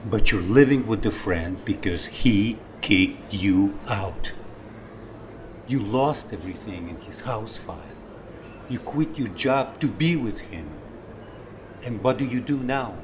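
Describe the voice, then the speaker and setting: A man's voice: medium pitch, slow pace, background noise, close to an everyday voice. An actor playing a wounded, jealous older man in love in a film drama, earnest and pressing as he talks. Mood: accusing. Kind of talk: naturalistic film drama dialogue.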